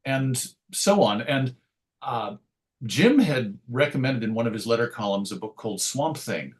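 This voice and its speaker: A middle-aged man reminiscing casually as an interview guest, hesitant and rambling, often interrupting himself to restart a thought. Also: medium pitch. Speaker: interview guest, writer and radio show host.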